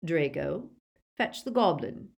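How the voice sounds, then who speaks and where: A woman's voice: deep voice, animated, slow pace, vocal fry, full character voice. A woman voicing a cold, commanding, middle-aged aristocratic male villain in an audiobook.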